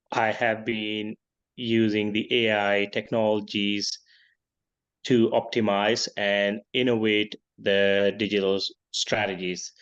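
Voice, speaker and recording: Male guest from Pakistan, 30s, low-pitched, steady and chatty, on dull video-call audio. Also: clean tone. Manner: steady, uses "you know" often. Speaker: guest, tech and business consultant. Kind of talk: conversational interview answers. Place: village in northern Pakistan.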